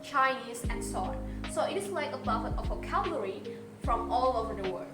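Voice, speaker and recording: A woman's voice: a little high, expressive, brisk. A young woman from Indonesia co-hosting a podcast, upbeat and giving examples from home. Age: young adult. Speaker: podcast co-host. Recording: roomy sound with loud music bed.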